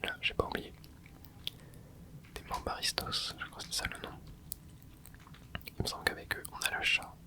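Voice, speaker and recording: A man hosting an ASMR channel, whispering soft, casual commentary to himself as he plays a video game, full of gamer slang. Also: moderate pace, pauses often. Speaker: ASMR gaming channel host.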